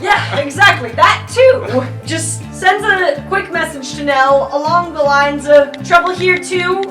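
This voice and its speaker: A woman's voice: high-pitched, slow. A woman talking casually as a player in an improvised tabletop role-playing game. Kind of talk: casual improvised roleplay talk. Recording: roomy sound, faint music.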